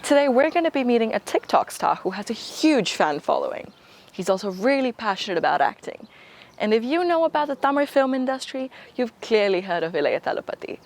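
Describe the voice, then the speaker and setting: A woman's voice: on the high side, very animated, fairly quick. A woman hosting an interview show, opening with an upbeat scripted introduction and then asking questions. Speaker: show host.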